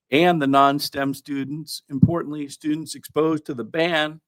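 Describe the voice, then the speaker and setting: A man hosting a news countdown, part reading and part ad-libbing his commentary in a halting way, with wry asides. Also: fairly high pitch. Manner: halting, with frequent throat clearing and wry asides.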